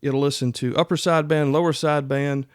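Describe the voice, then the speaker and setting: A man's voice: a little high, fairly even intonation, fairly quick, clear, resonant tone, dry recording, clean studio sound. A middle-aged man hosting a podcast, explaining things in a casual, folksy, conversational way. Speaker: podcast host. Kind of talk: casual instructional podcast talk.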